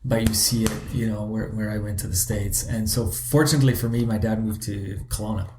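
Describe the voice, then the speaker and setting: Man from Zimbabwe, halting chat. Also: lowish voice, fairly even intonation, echoey room, background noise. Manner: hesitant, many restarts and fillers. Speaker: guest. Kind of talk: casual interview talk.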